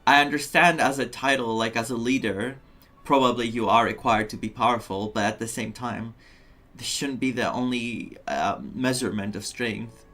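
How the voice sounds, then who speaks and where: Reflective male game streamer, middle-pitched. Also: moderate pace, bright, forceful tone, soft background music. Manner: empathetic, reflective, repeats himself for emphasis. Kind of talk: casual live commentary on a video game.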